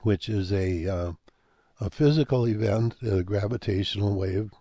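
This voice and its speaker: Deep, dark-voiced older man, hoarse. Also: dry recording, muffled recording. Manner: halting, many fillers and restarts. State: losing his voice. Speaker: speaker on ancient history and comets. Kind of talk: rambling monologue.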